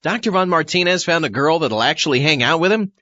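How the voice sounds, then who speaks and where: A man's voice: fairly high pitch, expressive, quick pace, sharp tone, no room sound, muffled recording. A man playing a sarcastic, incredulous astronaut in a comedy audio drama. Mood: exasperated, baffled. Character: exasperated, sarcastic male astronaut hero. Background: music.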